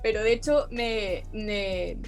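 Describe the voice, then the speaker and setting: A woman's voice: mid-range voice, steady tone, slow pace, faint music behind. A young woman from Chile with a Chilean accent, speaking earnestly as a youth activist guest, often restarting her phrases. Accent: Chilean Spanish. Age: young adult. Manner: earnest, many restarts and self-corrections. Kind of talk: conversational interview.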